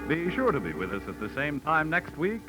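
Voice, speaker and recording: Vintage network announcer: American man, formal and emphatic, lively pitch, on an old radio recording. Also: fairly quick, over loud music.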